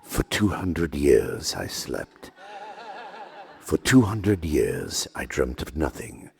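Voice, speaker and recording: Slow and solemn, this is a grim old vampire hunter, as a man puts him on with a crisp British received pronunciation accent. His very low voice drags each word out with vengeful weight. It is delivered like a stage monologue. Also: wildly swooping pitch, exaggerated voice.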